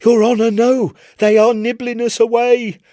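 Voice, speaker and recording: A man's voice: very high voice, level tone, normal speed, dark tone, dry recording, studio quality, pitched above the performer's own voice. A man voicing a terrified young ship's carpenter in a storybook reading, pleading desperately and fervently.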